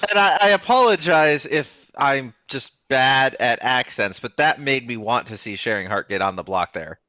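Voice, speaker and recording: Tired guy stammering a lot, bright voice that jumps around, dry sound. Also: mid-range voice, expressive, moderate pace, no echo, low-quality recording. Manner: stammering, self-deprecating. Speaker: player.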